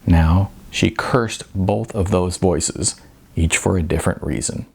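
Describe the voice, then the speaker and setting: A man reading his own novel as an audiobook narrator, in an expressive, conversational way with some hesitations. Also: low-pitched, measured pace, gravelly.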